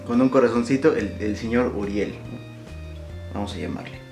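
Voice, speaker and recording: A man's voice: lowish voice, moderate pace, a little mellow. A young adult man with a Mexican accent chatting casually and playfully to viewers as a vlog host, slangy and often repeating himself. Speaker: YouTube prankster host. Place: small indoor room with shelves. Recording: roomy echo, light music behind.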